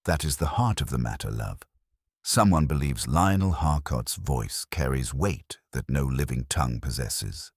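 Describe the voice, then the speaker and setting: A man voicing a calm, shrewd, philosophical police inspector in a detective story, speaking in a measured, grave way. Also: very deep voice, breathy edge, no room sound, studio quality, full character voice. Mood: measured, reflective. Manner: measured, aphoristic, quietly grave. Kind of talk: detective audiobook character reading.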